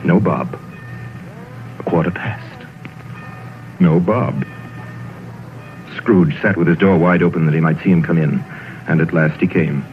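A man's voice: low-pitched, a little slow, long pauses. A man narrating an old radio drama as a storyteller, relishing the tale in short dramatic sentences. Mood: wry storytelling. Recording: old radio broadcast, narrow band, music.

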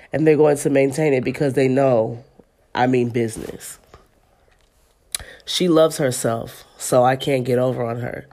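A woman's voice: extremely low pitch, mellow. Woman in her thirties, podcast host, stuffy congested voice, chatty and loose, recording at home. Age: 30s. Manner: chatty and loose, addresses listeners as y'all. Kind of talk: casual podcast chat and reading aloud. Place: at home in an apartment.

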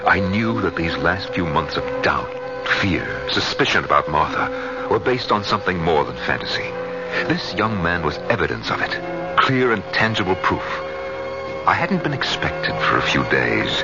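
An actor playing an anguished, suspicious middle-aged husband in a 1970s radio drama, brooding and intense in both speech and narration. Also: male voice, a bass voice, exaggerated voice. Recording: muffled vintage radio broadcast, music underneath.

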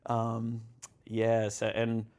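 A man's voice: medium pitch, completely flat delivery, extremely slow, darkish tone. Kiwi guy in his 30s, rambly, laughing thru his stories, um and like everywhere. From New Zealand. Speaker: podcast guest. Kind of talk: candid personal storytelling.

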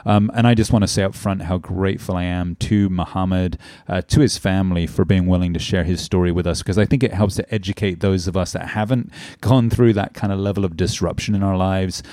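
Deep earnest male podcast host. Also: fairly even intonation, fairly quick, few pauses, warm tone, dry recording, studio quality. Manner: earnest, heavy with "um" and "uh". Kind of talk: podcast intro and interview.

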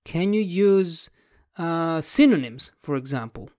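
Writing vlogger, mid pitch, dry close sound, musing and word-searching. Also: expressive, takes it slowly, darkish tone, no echo. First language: Italian. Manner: thinks aloud, searching for words. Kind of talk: casual explanatory talk about a book.

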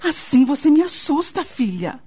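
A woman's voice: high voice, sing-song, moderate pace, mellow. A woman playing an anxious, fretful mother and grandmother in a radio soap opera. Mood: concerned. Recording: dull lo-fi radio recording.

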